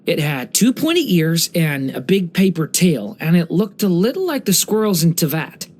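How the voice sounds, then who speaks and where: An actor voicing a gentle, earnest young dragon boy in a video game, speaking with soft-hearted wonder and care. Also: medium pitch, very animated, moderate pace. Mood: wistful, fond. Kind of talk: scripted game character voice lines.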